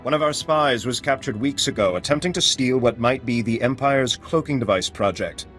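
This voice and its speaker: An actor playing a male resistance leader in a game cutscene, speaking in a serious, measured way. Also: mid-range voice, fairly bright tone, lightly acted. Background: game music.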